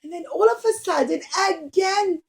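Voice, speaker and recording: High-pitched woman telling stories to children. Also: moderate pace. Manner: simple, expressive, encouraging teacher talk. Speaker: children's storyteller and puppeteer. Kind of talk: animated storytelling to children.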